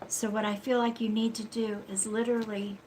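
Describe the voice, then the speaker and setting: A woman with a stuffy nose giving a live card reading, speaking in a slow, soothing, reassuring way and often checking in with "okay?" and "all right?". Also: on the high side, little pitch movement, a little mellow, a little hiss or hum, poor audio. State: stuffy-nosed. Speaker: psychic card reader.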